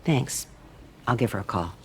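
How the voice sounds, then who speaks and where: Kindly older aunt, lively intonation. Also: female voice, a bass voice, normal speed, a little hiss or hum. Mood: kindly, caring. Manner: gentle, motherly, lightly teasing. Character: kindly older aunt, caring, modest shelter worker. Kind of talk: naturalistic game cutscene dialogue.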